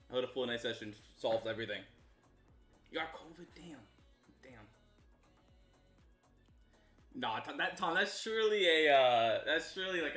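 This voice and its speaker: A young man from Canada chats casually with his stream audience in a bright, forward voice. He mumbles along and then erupts into loud greetings. The room sounds echoey, with faint music underneath. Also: mid-range voice. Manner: loose and chatty, bursts of loud excitement. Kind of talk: casual stream chat with viewers.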